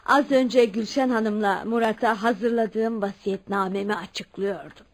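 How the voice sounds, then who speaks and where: An actress in a radio play playing a wealthy elderly aunt, stubborn, haughty and firm. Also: female voice, medium pitch, fairly even intonation, a little slow. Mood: stern, resolute. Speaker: radio drama actor. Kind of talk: Turkish radio theatre acting.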